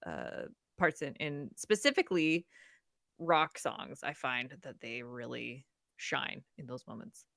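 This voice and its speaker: A woman co-hosting a podcast in casual chat, chatty and quick to laugh. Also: very deep voice, gravelly, a bit bright, no room sound, clean studio sound. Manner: chatty, laughs often, mock whispers. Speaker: podcast co-host.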